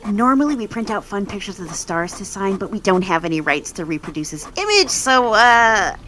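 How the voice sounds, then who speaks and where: A woman playing a bright, bouncy office coworker. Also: mid-range voice, big pitch swings, brisk, slight background noise, low-quality recording. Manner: breezy, offhand. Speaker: office colleague. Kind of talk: audio drama acting.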